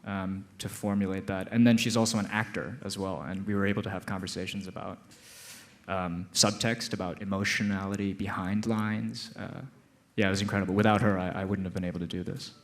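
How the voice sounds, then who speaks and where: American man, relaxed panel talk. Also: deep voice, a little fast. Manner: animated, laughing, many restarts. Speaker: actor guest on panel. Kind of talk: live panel conversation. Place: stage before live audience.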